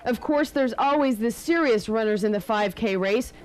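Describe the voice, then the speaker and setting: A woman's voice: a little high, moderate pace, loud music behind the voice. A woman working as a TV news reporter, reading a scripted narration.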